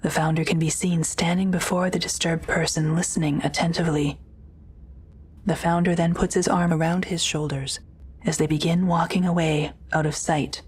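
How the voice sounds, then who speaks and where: A woman's voice: deep voice, level tone, moderate pace, breathy edge. A woman reading scripted present-tense narration for an audio drama, as the narrator.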